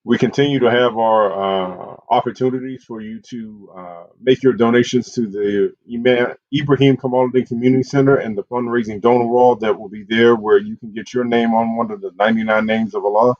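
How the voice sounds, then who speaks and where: A man's voice: medium pitch, steady tone, brisk, few pauses, darkish tone, no echo. A man hosting an online community program and reading out announcements over a video call. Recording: dull, lo-fi video call audio.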